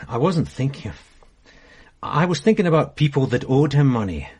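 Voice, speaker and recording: An actor playing an earnest, easygoing police sergeant in a radio drama. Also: male voice, medium pitch, sing-song, moderate pace, a little mellow, slightly rough.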